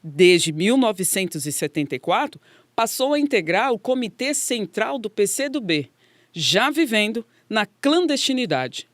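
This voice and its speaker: A Brazilian woman delivers scripted documentary narration in a middle-pitched voice. The recording is dry and close, with very little room around her. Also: very animated, measured pace, dry recording.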